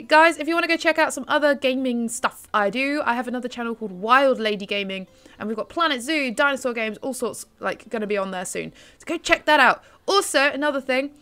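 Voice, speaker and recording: A woman's voice: a little high, sharp tone. Chatty British woman gushing over foals. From United Kingdom. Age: 20s. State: just woken up, voice lower than usual. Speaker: gaming YouTuber. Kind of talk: casual let's-play commentary.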